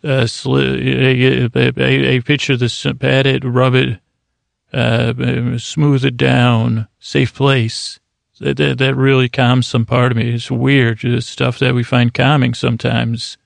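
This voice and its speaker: A man hosting a sleep podcast, rambling in a meandering, soft-spoken bedtime monologue that keeps interrupting itself. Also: medium pitch, level tone, slow pace, creaky, no room sound.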